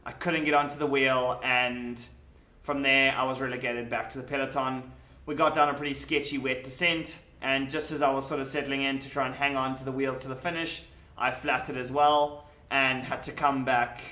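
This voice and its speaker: A man's voice: mid-range voice, bright, forceful tone. A young man recapping a bike race stage to the camera in a casual, matter-of-fact way, sitting in a small hotel room. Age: young adult. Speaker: pro cyclist vlogger. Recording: echoey room.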